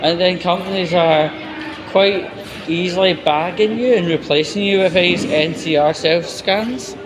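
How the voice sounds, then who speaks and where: Slow-talking Scottish man over loud noise. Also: sits high. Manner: blunt, sweary, conversational. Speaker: video blogger, host. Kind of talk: casual walk-and-talk vlog monologue. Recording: noisy outdoor walking recording, constant background noise.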